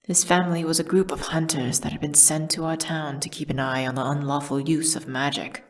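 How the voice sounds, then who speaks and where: Low, hushed woman playing a solemn condemned witch. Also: flat delivery, close to an everyday voice. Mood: somber, wistful. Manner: hushed, breathy, measured dramatic delivery. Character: condemned witch solemnly recounting her tragic story. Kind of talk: dramatic first-person ASMR audio storytelling.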